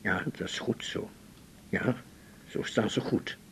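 Very slow, frail dying man. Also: fairly low pitch, slight background noise. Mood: gentle pleading. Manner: halting, slow, softly pleading. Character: frail, gentle dying male patient, pious and calm. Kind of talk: naturalistic 1980s radio drama.

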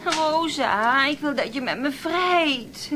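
A woman's voice: high-pitched, expressive, slow pace, over music. An actress in a radio play, playing a bitter, lonely woman who resents caring for her brother, with a brittle, intense manner. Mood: bitterly mocking. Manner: brittle and intense, mimics others mockingly.

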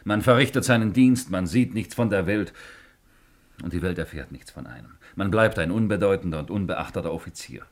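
An actor playing a self-important, uneasy, lonely Roman palace officer in a theatrical 1950s radio play style. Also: male voice, deep voice, animated, fairly quick. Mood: weary, bitter.

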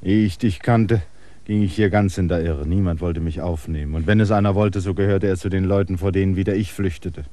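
An actor in a German radio drama playing a restless, stubborn outsider with a theatrical, intense delivery. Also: male voice, sits low, steady tone, brisk, warm tone, natural voice. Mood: earnest. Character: restless, stubborn outsider man, anxiously waiting, bitterly proud.

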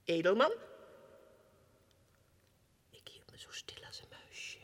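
An actor playing a tormented, desperate, childlike madman in a theatrical 1960s radio monologue that swings between hushed whispers and anguished cries. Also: slow. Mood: secretive, wounded. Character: tormented madman clerk, imagines himself king, desperate and childlike. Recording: reverberant hall, 1960s radio production.

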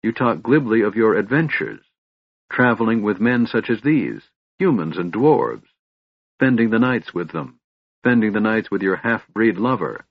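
A man's voice: lowish voice, animated, a little slow, slightly rough, no room sound, dull audio, exaggerated voice. A man voicing a proud, stern elven elder brother in a fantasy audiobook, speaking in a haughty, formal, accusing way. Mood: scornful reproach.

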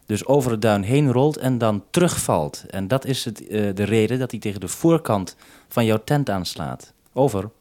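Dutch male radio host, low voice, businesslike two-way radio exchanges in a 1970s recording. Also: lively intonation, moderate pace, darkish tone. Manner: businesslike radio procedure, ends turns with "over". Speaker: radio host at base station.

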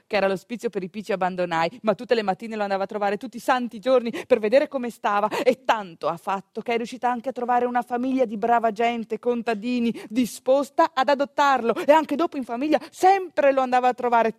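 A woman actress telling a story as a theatrical monologue, expressive, colloquial and confiding, with a northeastern Italian accent. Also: a little high, no echo, studio quality.

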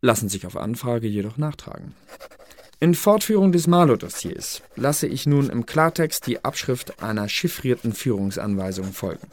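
A pedantic male intelligence official, played by an actor in a radio drama. He speaks at a medium pitch, dry and officious, like someone reading a file into the record. The phrasing is bureaucratic and exact. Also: animated, moderate pace, a little hiss or hum, natural voice. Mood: critical, officious.

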